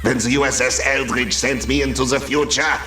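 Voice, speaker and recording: An actor playing a bombastic, petty, silly supervillain in a comic video game, with a grandiose, hammy delivery. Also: male voice, mid-range voice, steady tone, a little fast, pushed and bright, natural voice. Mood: triumphant gloating. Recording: echoey, with music behind. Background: game music.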